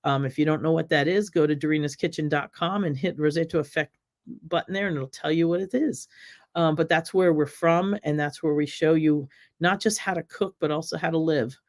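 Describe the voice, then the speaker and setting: Chatty woman, slightly raspy. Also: low voice, a little fast, darkish tone. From United States. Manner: chatty, rambling, many ums, reads viewer comments aloud. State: slightly raspy, recovering from illness. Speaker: cooking show host. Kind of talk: casual live stream chat with viewers.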